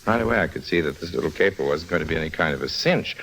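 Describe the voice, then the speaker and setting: An actor playing a wry, cheeky small-time crook turned investigator in a 1950s radio drama, slangy and nonchalant. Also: male voice, rumbling low, expressive, racing, a bit bright, slight background noise, heavily acted, rough recording. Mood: sardonic.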